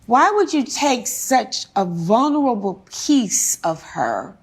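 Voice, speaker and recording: A woman's voice: fairly high pitch, sing-song, takes it slowly, breathy edge. A woman speaking as a television courtroom judge, questioning and ruling in a stern, emphatic, lecturing way.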